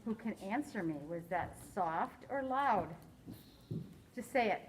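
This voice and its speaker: A woman teaching a science lesson to a class, quizzing her students in a slow, deliberate way. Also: medium pitch, expressive, background noise.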